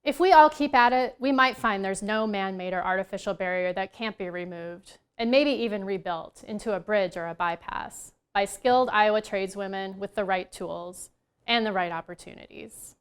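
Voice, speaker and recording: A woman giving a prepared speech at a luncheon, earnest and measured. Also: mid-range voice, smooth. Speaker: luncheon speaker.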